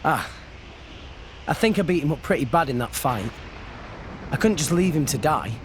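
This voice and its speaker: A man playing earnest boy hero, lively pitch. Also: moderate pace, background noise, natural voice. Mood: hesitant, gentle. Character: earnest kind-hearted teenage boy hero. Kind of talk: video game cutscene acting.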